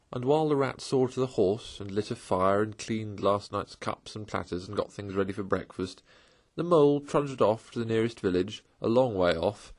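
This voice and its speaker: A man reading a classic children's novel aloud in a measured, expressive way. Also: fairly low pitch. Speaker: audiobook reader. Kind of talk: amateur storybook read-aloud.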